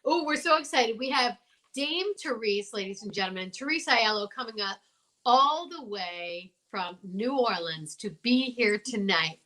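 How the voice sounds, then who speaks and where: A woman's voice: a little high, animated, a little slow, sharp tone. A woman co-hosting a livestream with casual banter in a playful, effusive manner. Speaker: co-host of a livestream show.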